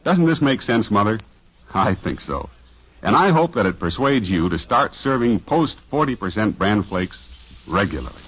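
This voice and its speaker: Brief: deep, husky old-time radio announcer, lively salesman pitch, muffled vintage broadcast. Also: moderate pace. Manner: smooth, persuasive, salesman-like. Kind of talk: 1950s radio ad read.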